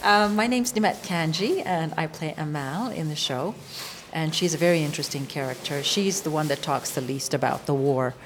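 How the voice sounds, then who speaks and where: A woman's voice: low-pitched, moderate pace, few pauses, background noise. A woman from Kenya, a theatre actor, talking thoughtfully about her role. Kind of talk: interview.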